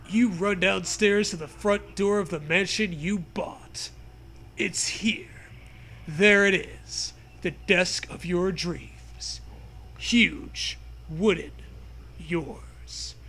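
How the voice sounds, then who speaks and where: A man's voice: high-pitched, bright, forceful tone, a little hiss or hum. A man reading a silly adventure story aloud, playfully dramatizing it and trading casual banter. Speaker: co-host reading aloud a choose-your-own-adventure parody.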